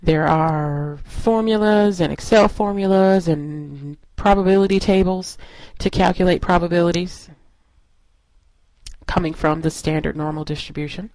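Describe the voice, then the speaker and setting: A woman's voice: lowish voice, steady tone, a little slow, warm tone, no room sound, poor audio. A woman instructor narrating a lesson in an explanatory, step-by-step way. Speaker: statistics instructor.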